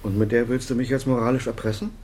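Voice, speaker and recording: An actor in a German radio crime drama playing a defensive, guilt-laden senior doctor and father with a husky, clipped delivery. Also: medium pitch, level tone, quick pace, a little mellow, a little hiss or hum, close to an everyday voice. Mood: defensive, curt. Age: middle-aged or older.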